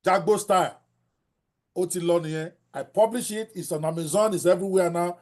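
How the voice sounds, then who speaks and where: An older Nigerian man with a Yoruba accent, teaching a book-writing class in a blunt, animated way and dropping in Yoruba phrases. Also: high-pitched, a little fast. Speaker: host.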